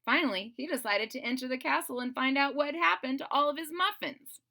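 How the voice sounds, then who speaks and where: A woman reading a storybook aloud to children, expressive and conversational, speaking directly to young listeners. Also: sits high, fairly quick. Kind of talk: animated children's read-aloud with casual asides.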